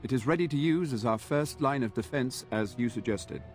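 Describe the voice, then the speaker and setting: An actor playing an earnest, devout knight in a fantasy video game, formal and solemn, resigned to a doomed battle. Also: male voice, medium pitch, lively intonation, fairly quick, faint music behind, lightly acted. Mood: grave, resolute.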